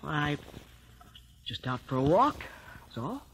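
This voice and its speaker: A man's voice: on the high side, big pitch swings, slow, a bit bright, exaggerated voice. An actor playing a nervous, evasive teenage boy who stammers defensively in an old radio drama. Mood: guarded. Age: young adult. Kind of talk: 1950s radio drama acting. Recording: noisy old radio broadcast recording.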